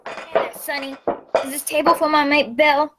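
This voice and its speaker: A woman's voice: sits high, little pitch movement, moderate pace, noisy background, lightly acted. A woman playing a sly, wheedling male pirate with rustic seafarer speech in a radio play.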